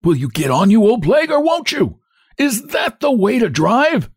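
Young hunchback, storybook character, high cracked voice, bossy, jeering, impatient, swooping pitch. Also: male voice, very animated, normal speed, slightly rough, no echo, studio quality, exaggerated voice. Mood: indignant, bullying. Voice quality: cracked, quivering voice. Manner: impatient, abusive barking.

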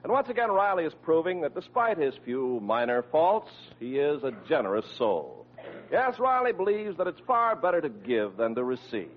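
High, hugely animated old-time radio announcer man. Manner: emphatic, theatrical, drawn-out delivery. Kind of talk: 1940s radio sponsor announcing. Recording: dull old radio broadcast. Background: music.

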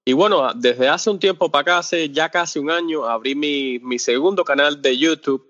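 Cuban man, hesitant and halting, echoey. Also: on the high side, fairly bright tone. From Cuba. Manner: hesitant, searches for words with many fillers and restarts. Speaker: podcast guest, off-road video creator. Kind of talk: casual interview talk. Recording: dull lo-fi sound with long reverb.

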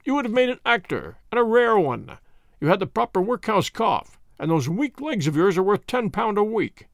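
A man's voice: sits high, sing-song, moderate pace, gravelly, no echo, clean studio sound, voice pushed up, heavily acted. A man voicing a hearty, blustering middle-aged police inspector. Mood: delighted.

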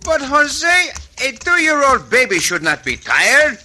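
Gallant Mexican cowboy hero, high, ringing, unhurried voice, courtly and good-humored, noisy vintage radio recording with clicks. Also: male voice, big pitch swings, sharp tone, full character voice. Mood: curious, amused. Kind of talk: 1950s radio western acting.

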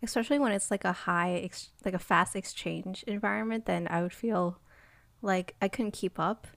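A woman's voice: mid-range voice, normal speed, vocal fry, fairly bright tone, no room sound. Dry-sounding young woman, chatty. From America. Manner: chatty, laughs often, many fillers. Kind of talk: casual group chat.

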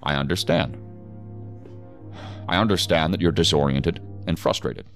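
Stern federal boss, low-pitched, authoritative, clipped formal orders, audio drama, music underneath. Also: male voice, a little slow, creaky, fairly bright tone, full character voice. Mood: firm, controlled. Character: stern, commanding male assistant director of federal investigators.

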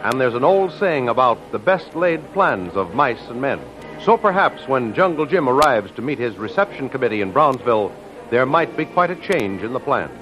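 A man's voice: medium pitch, very animated, moderate pace, long pauses. A man announcing in a 1930s radio style, reading scripted narration with a dramatic promotional delivery. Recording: muffled old radio broadcast. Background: faint music.

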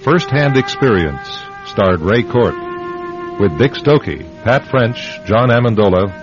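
Male radio announcer for scripted credits; lowish pitch, animated intonation, lo-fi recording. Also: measured pace. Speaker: announcer, writer and producer. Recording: dull lo-fi radio recording over music.

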